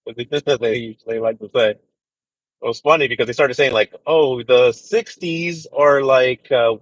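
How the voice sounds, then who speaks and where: A man in his thirties chatting casually with viewers on a livestream, rambling and relaxed with lots of fillers. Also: mid-range voice, measured pace, no echo, lo-fi sound. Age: 30s. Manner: laid-back, rambling, full of fillers and "dude". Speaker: truck driver livestreamer. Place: inside a truck cab.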